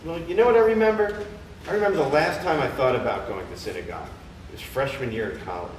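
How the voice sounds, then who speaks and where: A man's voice: medium pitch, fast, lightly acted. A man acting on stage as a conflicted, exasperated younger man, emphatic and questioning. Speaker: stage actor in a staged play reading. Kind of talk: live theater comedy acting. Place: theater or temple hall, far microphone. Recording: echoey hall from far off, noisy.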